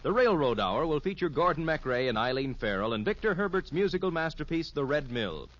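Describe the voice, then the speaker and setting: A man's voice: fairly high pitch, sing-song, fairly quick, fairly bright tone. A man announcing programs in a scripted 1950s radio network promo style. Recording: muffled old radio recording.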